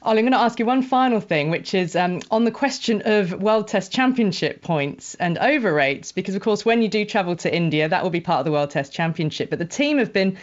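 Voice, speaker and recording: A slightly muffled, band-limited recording carries a woman presenting a sports talk show. Her pitch is mid-range, and she interviews in a conversational, chatty way with long linked questions. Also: expressive, a little fast, few pauses.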